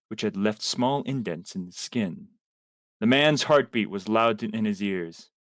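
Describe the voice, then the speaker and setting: A man with a fairly low voice reads fan fiction aloud in a theatrical storytelling manner. He occasionally trips over a word. The sound is dry and close, with very little room to it. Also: no echo. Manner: theatrical, with stumbles over words. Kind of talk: dramatic story reading to friends on a livestream.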